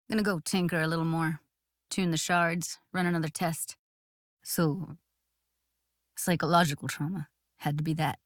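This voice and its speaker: A woman's voice: lowish voice, fairly bright tone, no echo, clean studio sound, lightly acted. A woman voicing a young, streetwise techie in a video game, blunt and guarded with tenderness underneath, speaking in casual, clipped slang. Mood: businesslike, frank. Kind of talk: naturalistic video game voice acting.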